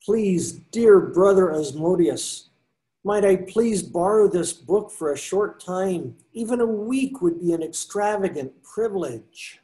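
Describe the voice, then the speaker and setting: A man's voice: high-pitched, expressive, slow pace, dark tone. An older man reading the part of a pious friar who loves books, earnest and pleading, in a video call reading. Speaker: reader in readers theater. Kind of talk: amateur readers theater over video call. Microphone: video call webcam.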